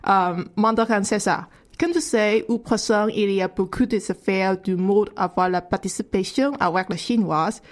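A woman's voice: medium pitch, moderate pace. A woman whose first language is Cantonese, speaking French with a Cantonese accent as a radio columnist, explaining carefully with many hesitations. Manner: hesitant, frequent "euh" fillers, careful second-language French. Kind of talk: radio segment chat.

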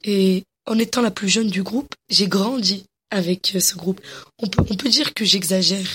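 A young woman from Morocco answering interview questions eagerly, often pausing and restarting her sentences. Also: mid-range voice, fairly bright tone, slightly rough. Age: young adult. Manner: enthusiastic, many hesitations and restarts.

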